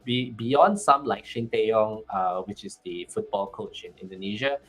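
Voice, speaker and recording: A man's voice: fairly low pitch, measured pace, fairly bright tone, husky, faint music behind, poor audio. A man with a Malaysian accent, speaking as a guest on a panel, often restarting and repeating words. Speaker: country manager, panel guest. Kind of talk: casual panel talk.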